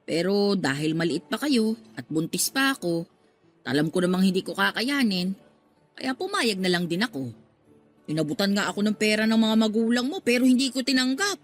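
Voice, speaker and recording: An actress playing a talkative, motherly middle-aged working-class woman in a radio drama, expressive and given to exclaiming. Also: medium pitch, normal speed, lightly acted. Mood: regretful, explaining. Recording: music under the voice.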